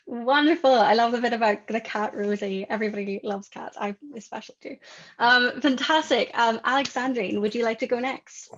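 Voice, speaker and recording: A woman's voice: on the high side, fairly quick, a bit bright, muffled recording. A woman moderating a webinar panel over a video call, upbeat and encouraging as she passes the floor to each speaker.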